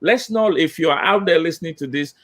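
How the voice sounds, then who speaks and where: A man's voice: fairly high pitch, a little fast. A middle-aged man, a diversity consultant, talking emphatically on a video call from a home office, repeating points for emphasis. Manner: animated, gestures with hands, emphatic repetition. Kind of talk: panel discussion. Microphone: laptop webcam on video call.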